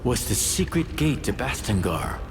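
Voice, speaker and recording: An actor playing a wondering companion in a fantasy video game. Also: male voice, mid-range voice, big pitch swings, moderate pace. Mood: marveling. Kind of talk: video game dialogue acting. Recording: long hall reverb, music behind.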